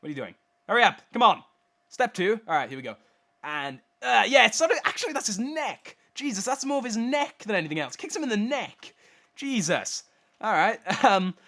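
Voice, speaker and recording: A young man with a cold talks over game replays with a blocked, stuffy nose. His voice sits a little high and bounces around in pitch. He rambles and laughs at himself when he trips over names. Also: fairly bright tone. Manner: rambling, self-deprecating, stumbles over names. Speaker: host commentating game replays. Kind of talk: casual replay commentary.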